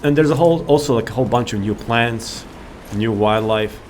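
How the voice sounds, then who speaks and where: A man's voice: mid-range voice, fairly quick, darkish tone, a little hiss or hum. A man giving rambling, informal and enthusiastic commentary as he hosts a video game showcase. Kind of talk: casual game walkthrough commentary. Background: game music.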